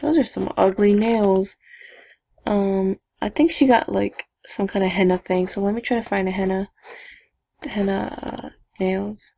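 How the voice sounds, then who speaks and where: A young woman chatting casually to viewers while she builds a game avatar, talking to herself with giggly asides in a low evening voice. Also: steady tone, unhurried, gravelly, warm tone, a little hiss or hum. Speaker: gaming vlogger. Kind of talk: casual screen-recording commentary.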